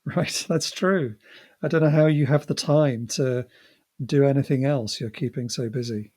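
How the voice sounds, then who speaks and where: A man's voice: mid-range voice, moderate pace, darkish tone. A man hosting a podcast in a casual, chatty style, effusive and a little self-deprecating. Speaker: podcast host. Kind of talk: casual chat.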